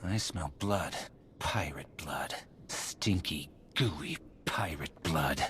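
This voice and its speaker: An actor playing an unhinged, vengeful settler in a video game, muttering and repeating himself with menace. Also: male voice, very deep voice, lively intonation, takes it slowly, a bit bright, slight background noise, heavily acted. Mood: crazed, vengeful. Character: unhinged, vengeful male settler obsessed with pirates.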